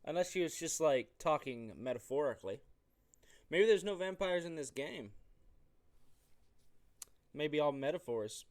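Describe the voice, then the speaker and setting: A man's voice: a little high, lively intonation, a little slow, long pauses. A man hosting a let's-play, talking casually with rambling, jokey asides and reading on-screen text aloud. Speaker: host of a gaming show.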